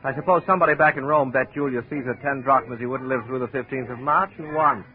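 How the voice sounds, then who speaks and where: A man's voice: medium pitch, fast talker, natural voice. An actor playing a cynical, fatalistic barroom man loyal to his friend in 1950s radio drama. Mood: sardonic, guarded. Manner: sardonic, philosophizing. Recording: tinny old radio recording.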